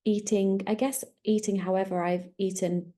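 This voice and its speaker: A woman's voice: medium pitch, little pitch movement, normal speed, dark tone. A woman in her thirties, a podcast host, chatting casually and rambling at home, correcting herself as she goes. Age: 30s. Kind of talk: casual solo podcast chat.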